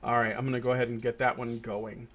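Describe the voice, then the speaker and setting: A man's voice: mid-range voice, level tone, quick pace, a bit bright. A man hosting a casual podcast, talking loosely with lots of restarts and fillers. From Puerto Rico. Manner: rambling, many restarts and fillers. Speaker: podcast host. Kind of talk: casual chat.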